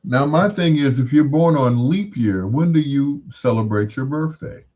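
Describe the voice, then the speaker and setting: A man's voice: medium pitch, normal speed, mellow. An American man hosting in a casual, rambling monologue, musing aloud and posing rhetorical questions. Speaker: radio-style host.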